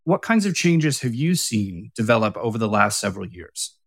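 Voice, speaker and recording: A man hosting a podcast, reading his introductions and questions in a formal, measured way. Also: on the low side, big pitch swings, creaky, a bit bright, no room sound, studio quality. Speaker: podcast host. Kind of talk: scripted interview questions.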